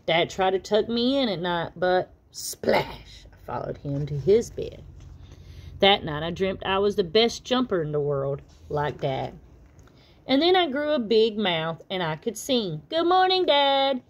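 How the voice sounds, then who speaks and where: A woman reading a children's picture book aloud as an expressive narrator. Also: fairly low pitch, a little slow. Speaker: storybook reader.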